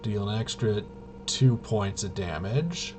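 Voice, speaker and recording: A man with a fairly low voice talks very slowly over clear background noise. He gives casual commentary on a tabletop game he plays alone. His delivery is halting, as if muttering to himself while he works out each move. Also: lively intonation. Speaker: tabletop RPG player and presenter. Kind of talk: casual solo gameplay commentary, thinking aloud.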